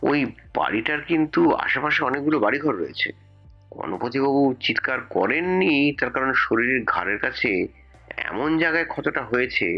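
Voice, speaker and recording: Male police investigator for audio detective drama, played by a male actor: gravelly, swinging intonation, emphatic, defensive, puzzled, music underneath. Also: medium pitch, highs cut off. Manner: emphatic, explanatory, with rhetorical questions. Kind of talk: audio story acting.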